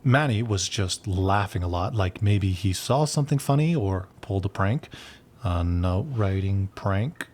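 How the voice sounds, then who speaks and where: A man's voice: lowish voice, darkish tone, husky. An actor playing an earnest young man who rambles awkwardly and corrects himself in a gossipy, conversational way.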